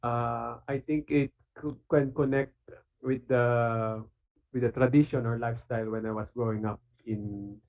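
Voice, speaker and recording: A man from the Philippines with a Filipino accent, talking casually in an online panel, halting with many fillers and restarts. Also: medium pitch, fairly even intonation. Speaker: artist and guest speaker. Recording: phone-like video call audio.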